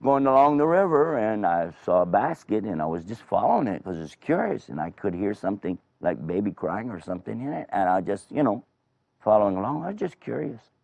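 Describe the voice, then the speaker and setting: Man playing a nervous young girl with jumpy pitch. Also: big pitch swings, brisk, creaky, darkish tone, dry recording, dull audio, full character voice. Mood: nervous, eager. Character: nervous, eager young girl, the baby's sister.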